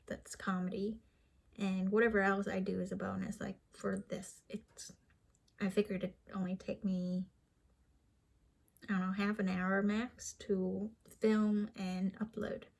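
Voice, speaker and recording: A woman's voice: medium pitch, gravelly, a little mellow. A young woman whose first language is Vietnamese speaks with a light Vietnamese accent. She talks to the camera in a casual, chatty, self-deprecating way, with restarts and small laughs. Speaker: vlogger.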